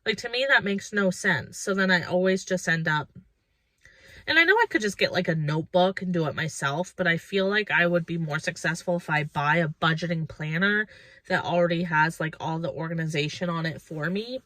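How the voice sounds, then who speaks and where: Bright-voiced thirty-year-old woman vlogger, chatty. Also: on the low side, a little fast, dry recording. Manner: informal, conversational, thinking aloud. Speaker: lifestyle vlogger. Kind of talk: casual reflective chat to viewers.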